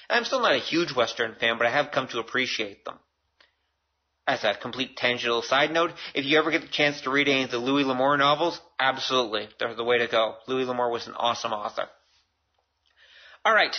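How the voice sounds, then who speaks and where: Man, middle-aged, Canadian accent, casual podcast host, rambling asides, medium pitch, lo-fi sound. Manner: chatty, self-deprecating, rambling with asides. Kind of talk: casual solo podcast monologue.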